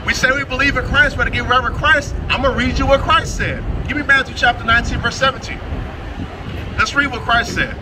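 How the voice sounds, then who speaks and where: High-voiced man preaching on a street. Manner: challenging, quick-talking, casual slang. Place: city sidewalk outdoors. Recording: distant voice over loud city crowd and traffic.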